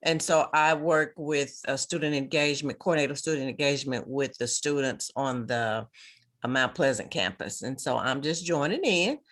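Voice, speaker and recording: A woman's voice: very deep voice, moderate pace, a bit bright. A woman student engagement coordinator chatting in a rambling way on a video call with her camera off.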